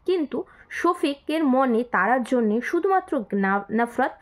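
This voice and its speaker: A woman's voice: sits high, lively intonation, measured pace. A woman hosting a casual commentary video, talking straight to viewers, repeating words for emphasis and mixing in English phrases. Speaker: video host commenting on viral clips.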